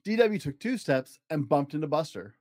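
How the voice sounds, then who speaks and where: A man reads a children's story as a podcast host, with very lively swings in pitch. His delivery is earnest and expressive, recorded close and dry. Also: normal speed, no room sound. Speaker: podcast host reading a children's picture book. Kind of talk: scripted storybook narration.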